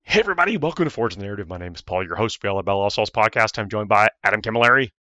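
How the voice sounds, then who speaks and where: A man hosting a casual podcast, his voice ragged and hoarse, rambling with frequent restarts and fillers. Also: on the low side, expressive, very fast, sharp tone, no echo. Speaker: podcast host.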